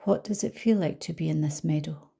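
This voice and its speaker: A woman leading a guided visualisation as a coach, speaking calmly and gently with soft trailing phrases. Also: low voice, brisk, vocal fry, slightly rough. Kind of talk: guided meditation narration.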